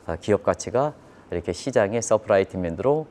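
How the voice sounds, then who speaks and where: Male economic commentator, fairly low register, explains markets to viewers with repetition and rhetorical questions. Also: animated, fairly quick, a little mellow, slight background noise. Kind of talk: live financial news commentary.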